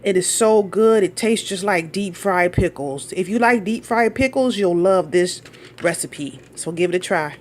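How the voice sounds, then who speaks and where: A woman's voice: on the low side, measured pace, slight background noise. A middle-aged woman from Minnesota giving a chatty, folksy cooking demonstration in her home kitchen, talking straight to viewers. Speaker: cooking vlogger.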